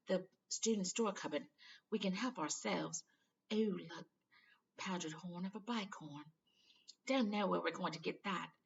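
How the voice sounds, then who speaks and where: A woman's voice: fairly low pitch, creaky, muffled recording, a little hiss or hum, voice pushed up. A woman voicing an earnest, bookish young girl, eager and a little bossy, in a storybook reading.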